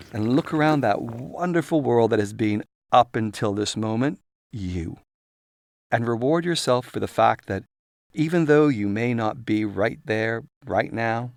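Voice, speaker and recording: A man's voice: lowish voice, vocal fry, dry recording. A man reading a self-help audiobook in an irreverent, sweary, chatty way, with emphatic, theatrical phrasing. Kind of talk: scripted narration, second-person self-help monologue. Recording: music behind the voice. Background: musical soundscape.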